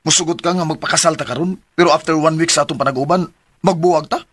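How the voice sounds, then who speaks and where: Man as a high-voiced, wheedling young man. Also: steady tone, fast, background noise, lightly acted. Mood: defensive. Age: young adult. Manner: wheedling, insistent. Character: pleading, evasive, self-serving young adult son. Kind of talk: radio drama acting.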